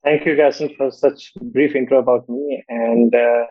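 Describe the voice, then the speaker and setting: A man's voice: mid-range voice, fairly even intonation, no echo. Man, dull dry call audio. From Nepal. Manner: measured, often tags sentences with "right?". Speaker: guest, fintech co-founder. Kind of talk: podcast interview.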